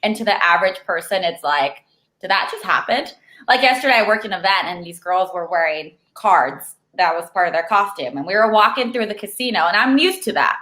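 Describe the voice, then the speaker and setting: A woman's voice: mid-range voice, animated, fairly quick, sharp tone. A young woman from Argentina, raised in California, chatting as a guest and filling her talk with "like.". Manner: chatty, lots of "like" fillers, laughs easily. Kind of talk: casual chat. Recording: echoey room.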